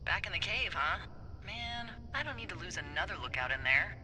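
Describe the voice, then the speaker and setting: A very bright, crisp-edged voice of a wry woman supervising fire lookouts. She talks naturally, with playful sarcasm and a teasing streak. There is faint noise behind her. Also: fairly low pitch, animated, fairly quick. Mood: dry, playful. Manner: playful, sarcastic, hesitant when earnest. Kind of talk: naturalistic video game dialogue.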